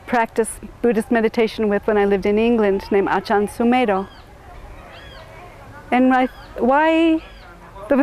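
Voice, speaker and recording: A middle-aged woman from suburban Chicago, answering interview questions in a thoughtful, halting way with many restarts. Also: a little high, a little mellow, a little hiss or hum. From suburban Chicago, USA.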